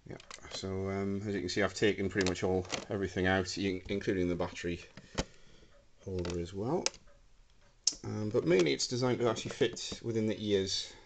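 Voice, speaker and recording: A man's voice: low voice, normal speed, creaky, noisy background. A man giving a casual, hesitant demonstration of homemade electronics, filmed on a handheld camera indoors. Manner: hesitant, many ums, talking while handling gear. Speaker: hobbyist prop maker. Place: indoor room with a wooden floor. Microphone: handheld camera mic.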